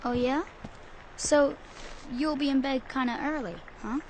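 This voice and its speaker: An innocent young boy with a high, unhurried voice. He is earnest, polite and a little hesitant, in an animated game scene with noticeable background ambience. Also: background noise. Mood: sly, cheerful. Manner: hesitant, boyish, eager. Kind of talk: animated game cutscene acting.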